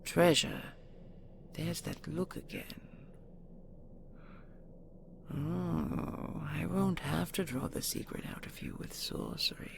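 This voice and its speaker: A woman playing a possessive, seductive dragon queen in an intimate audio roleplay, slow, doting and imperious, with a breathy, gravelly whisper. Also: low-pitched, a little hiss or hum, heavily acted. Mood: coaxing, menacing.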